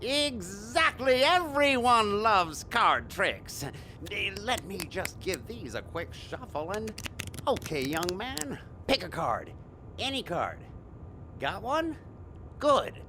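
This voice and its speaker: An actor playing a grumpy, boastful stage magician in an audio drama, sarcastic and theatrical in manner. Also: male voice, big pitch swings, slow, heavily acted. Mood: showy, grandiose. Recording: faint noise with low rumble.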